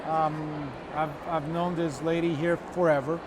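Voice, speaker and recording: A man's voice: fairly high pitch, level tone, measured pace. A man hosting an interview at a trade show, asking questions with frequent repeated words and restarts. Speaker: interview host. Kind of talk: conversational interview. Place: busy trade show floor. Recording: noisy trade show floor.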